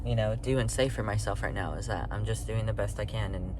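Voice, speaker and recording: A young man talking casually in a video diary, halting and rambling, with many ums and frequent small laughs. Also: fairly low pitch, steady tone. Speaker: vlogger. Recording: loud background noise.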